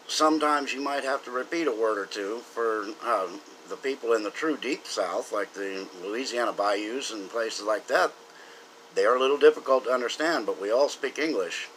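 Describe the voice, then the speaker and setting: A man's voice: fairly low pitch, pushed and bright, slight background noise, rough recording. An American man from the South giving an earnest, opinionated, unscripted political monologue to camera, speaking emphatically. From southern United States. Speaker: political commentator.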